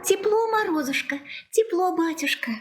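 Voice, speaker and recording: Very high-voiced meek maiden. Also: female voice, animated, normal speed. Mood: meek, shivering. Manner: gentle, polite. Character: meek, sweet, shivering young maiden. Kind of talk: fairy-tale audio theatre acting.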